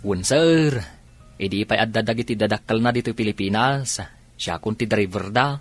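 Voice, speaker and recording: A man's voice: on the low side, moderate pace, no room sound, faint music behind, close to an everyday voice. A man playing a loyal, respectful family driver in an Ilocano audio drama, with an Ilocano accent. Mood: deferential.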